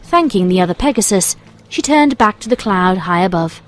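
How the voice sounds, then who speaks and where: A woman's voice: medium pitch, animated, normal speed, faint music behind. A woman reading scripted storybook narration as the narrator.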